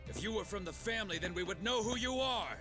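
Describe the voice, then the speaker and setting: A man playing a suspicious, confrontational yakuza henchman in an English-dubbed video game, quick to insult. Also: high voice, expressive, music in the background. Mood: suspicious, hostile.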